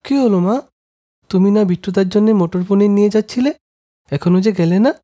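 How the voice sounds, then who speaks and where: Woman doing a sweet cartoon kid, soft dark tone, medium pitch, coaxing. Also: a little fast, natural voice. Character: sweet child in a family cartoon.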